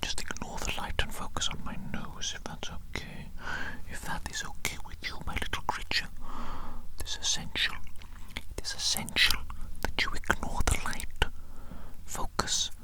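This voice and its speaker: A performer whispering as an evil mad doctor in an ASMR medical roleplay, fussy and controlling, softly repeating phrases to a little minion. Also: normal speed, lightly acted. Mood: coaxing, instructing. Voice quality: breathy unvoiced whisper.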